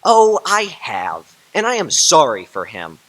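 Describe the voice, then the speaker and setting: An actor playing Fred, Scrooge's cheerful young nephew, in a radio drama, hearty and laughing. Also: male voice, on the high side, huge pitch swings, a little slow, fairly bright tone, exaggerated voice. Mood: jovial.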